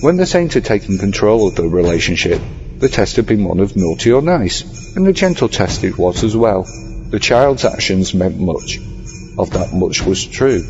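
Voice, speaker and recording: A man reading a scripted horror story as narrator, in an ornate, measured storytelling manner. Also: low-pitched, a little mellow. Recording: slightly dull audio over music. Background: music.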